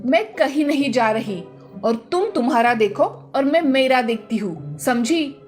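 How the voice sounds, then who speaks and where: A woman acts out an older Indian-family mother-in-law for a cartoon morality tale. Her voice sits high and carries a scolding, aggrieved temper. Faint music plays underneath. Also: expressive, a little slow, a little mellow. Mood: indignant. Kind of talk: acted dialogue in cartoon moral story.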